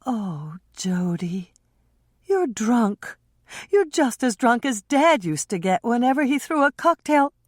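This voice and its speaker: A woman reading an audiobook and voicing a fragile, tearful young woman who speaks softly and pleadingly. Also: on the high side, sing-song, a little slow, no echo, studio quality, voice pushed up. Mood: sad, tearful.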